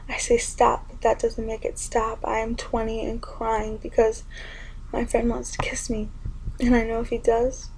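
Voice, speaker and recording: A young woman performing spoken word poetry in an intimate, often whispered delivery with a gravelly edge. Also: a little high, darkish tone, a little hiss or hum, poor audio.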